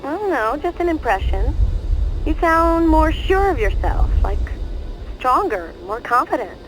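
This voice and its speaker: Game role: gossipy young female friend, very high voice, swooping expressively, needling and gushy. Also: sing-song, unhurried, faint music behind, rough recording. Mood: curious, probing. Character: chatty, teasing, gossipy young woman, heroine's best friend. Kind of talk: video game voice acting, phone conversation.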